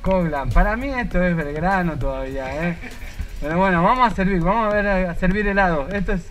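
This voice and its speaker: Chatty Argentine man with a high voice. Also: a little fast, a bit bright, faint music behind. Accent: Rioplatense Spanish. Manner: joking, chatty and upbeat. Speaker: motovlogger host. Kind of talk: casual vlog chat. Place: street and small ice cream shop. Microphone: helmet-mounted action camera.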